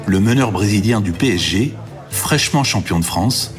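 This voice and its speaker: Male narrator, low voice, measured scripted documentary voice-over, music underneath. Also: animated. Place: voice-over studio.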